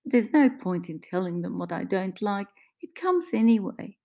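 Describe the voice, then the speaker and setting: A woman voicing a frail elderly care home resident who complains quietly and with resignation. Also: mid-range voice, lively intonation, normal speed, creaky. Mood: resigned.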